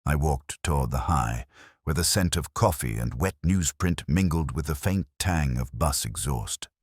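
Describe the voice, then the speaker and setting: A man reading a scripted first-person detective story in a measured, brooding, reflective way. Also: extremely low pitch, lively intonation, breathy edge, dry recording, studio quality. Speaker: narrator.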